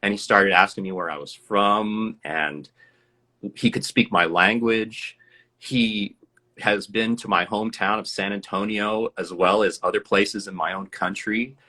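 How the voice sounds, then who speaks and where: Earnest, bright-voiced man telling a story. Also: on the low side, steady tone, unhurried. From USA. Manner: reflective, earnest, laughs often. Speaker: interview guest. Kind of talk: storytelling in a casual live interview.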